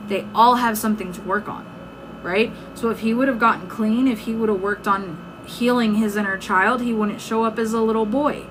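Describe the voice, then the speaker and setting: A woman's voice: fairly high pitch, a bit bright. A woman giving an informal, emphatic motivational talk on a live stream, conversational and often checking in with listeners, her voice starting to give out. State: losing her voice. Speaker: live-stream host and self-help coach. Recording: clearly noisy background.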